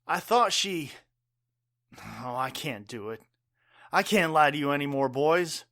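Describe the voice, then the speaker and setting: A man acting a wounded, bitter father who is secretly a robot-building villain in a pulp radio drama, speaking haltingly and melodramatically. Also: a little high, expressive, fairly bright tone, dry recording, clean studio sound. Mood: remorseful, halting.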